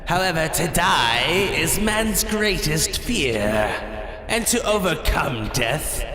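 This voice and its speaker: Eerie, echoing horror host. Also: male voice, a little high, very animated, crowd noise. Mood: ominous, philosophical. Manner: grandiose, drawn-out, dramatic. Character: theatrical, eerie horror-anthology host musing on death. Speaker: anthology host. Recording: reverberant hall effect with music.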